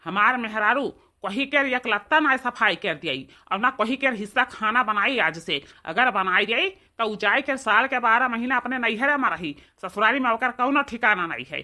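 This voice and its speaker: A woman's voice: on the high side, expressive, moderate pace, few pauses, bright, forceful tone. A woman playing an indignant young village husband in a comedy cartoon, confrontational and emphatic, with an eastern Uttar Pradesh accent. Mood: defiant.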